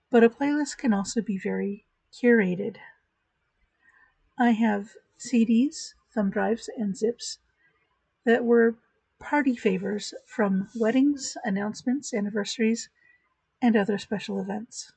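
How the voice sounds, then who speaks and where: A woman hosting a virtual church chat, giving a calm, reflective spoken meditation. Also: mid-range voice, gravelly, husky, no room sound, low-quality recording.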